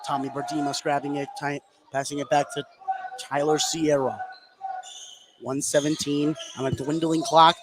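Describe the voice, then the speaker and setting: Higher-voiced American man calling basketball over loud noise. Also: little pitch movement, normal speed. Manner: running, descriptive call of the action. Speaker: play-by-play radio commentator. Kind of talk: live basketball commentary. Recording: loud gym noise behind live broadcast. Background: noisy indoor basketball gym.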